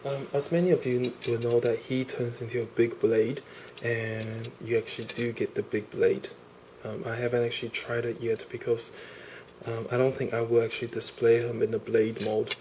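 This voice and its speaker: Guy with a cold gushing over a toy, mid pitch, bit of faint hiss behind. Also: little pitch movement, normal speed, darkish tone. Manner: enthusiastic, gushing, repeats "awesome" and "really". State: has a cold. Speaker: toy reviewer. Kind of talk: casual unboxing review.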